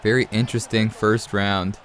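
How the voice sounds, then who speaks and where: A man's voice: on the low side, little pitch movement, moderate pace. A young man from Canada with a cold, chatting casually while breaking down his gameplay, often calling his listeners man and guys. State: has a cold. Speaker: gaming commentator.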